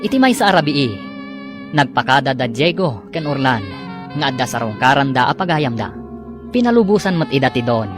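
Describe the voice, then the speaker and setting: A man reads the narration and credits of a radio drama in a measured announcing voice. His voice sits in the middle range. Music plays clearly behind him. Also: medium pitch. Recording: radio broadcast with music bed.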